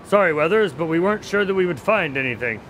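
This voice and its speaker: A man's voice: fairly high pitch, animated, fast talker, a bit bright, a little hiss or hum, low-quality recording. A man acting in a radio drama as an affable, inquisitive detective's sidekick who tells stories in an earnest, conversational way. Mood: wry.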